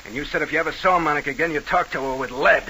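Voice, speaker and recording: A man's voice: fairly high pitch, very fast, pushed and bright, rough recording. A man playing a wisecracking, skeptical gangster club boss with brash slang in an old-time radio mystery. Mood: goading. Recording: hissy vintage radio recording.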